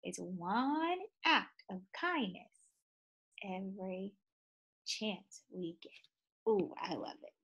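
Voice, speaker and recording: Animated woman teacher reading aloud. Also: medium pitch, slow pace, long pauses, slightly rough, dull audio. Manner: animated, gasps and sighs, asks children questions. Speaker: second grade teacher. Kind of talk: children's read-aloud with questions.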